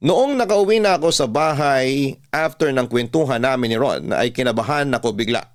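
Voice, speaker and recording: A man's voice: mid-range voice, moderate pace. A man with a Filipino accent reading a scripted first-person story as a radio storyteller, in classic radio style.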